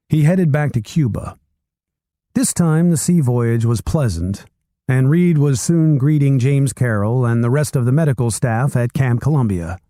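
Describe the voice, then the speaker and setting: Guy reading a history book out loud, warm mellow voice, calm and measured. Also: mid-range voice, dry recording, clean studio sound. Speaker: narrator reading a book aloud. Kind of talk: read-aloud narration of a nonfiction book.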